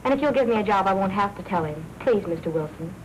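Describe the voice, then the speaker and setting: An actress playing an eager young would-be reporter in a 1940s film, earnest and coaxing. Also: female voice, medium pitch, animated, fast, close to an everyday voice. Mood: pleading, confiding. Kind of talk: 1940s film noir acting. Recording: noisy 1940s film soundtrack.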